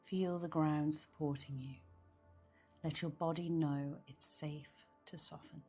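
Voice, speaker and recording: A woman guiding a meditation in a soft, soothing manner, with phrases often trailing into breathiness. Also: deep voice, steady tone, slow pace, slightly rough. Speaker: meditation guide. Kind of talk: guided meditation. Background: background meditation music.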